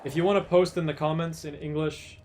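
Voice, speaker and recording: A man's voice: on the high side, fairly even intonation, brisk, darkish tone, a little hiss or hum. Tired, chatty young-sounding streamer man. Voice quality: chatty, rambling, self-correcting. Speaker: speedrunner streamer. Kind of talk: casual gameplay tutorial.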